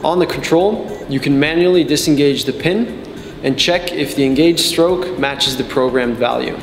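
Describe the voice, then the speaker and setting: A man in his twenties giving scripted instructional narration as a technical trainer, explaining each step in a measured way. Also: medium pitch. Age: 20s. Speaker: technical support and customer trainer. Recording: echoey, reverberant sound with background music. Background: background music.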